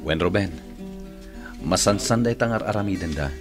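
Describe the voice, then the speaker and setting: A man's voice: low-pitched, moderate pace, music in the background, natural voice. An actor playing a grim man in a radio drama. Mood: grave.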